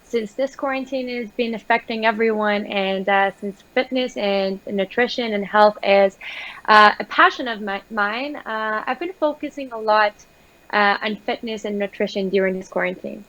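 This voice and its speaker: A woman from Iran calling into a live show from her home, speaking in a measured, articulate way and pausing often on "uh" and "um.". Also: mid-range voice, lo-fi sound. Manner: articulate, steady, measured with many uh and um. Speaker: guest caller, recreational therapist. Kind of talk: conversational call-in interview. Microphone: remote call-in line.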